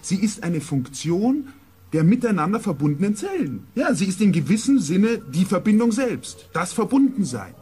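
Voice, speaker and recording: A probing, thoughtful scientist in a science-fiction radio drama. His voice is very husky and rather high, rising and falling freely as he reasons. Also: big pitch swings, normal speed, dark tone, faint music behind, heavily acted. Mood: musing, probing. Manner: musing, reasoning in questions.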